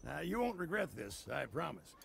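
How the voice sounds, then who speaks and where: A man playing a grizzled old American frontier trader in a video game, folksy and rambling like a salesman, with a gravelly voice. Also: fairly high pitch, big pitch swings, normal speed, a bit bright, slight background noise, heavily acted. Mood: pleased. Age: older. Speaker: game character actor. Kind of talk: video game cutscene acting.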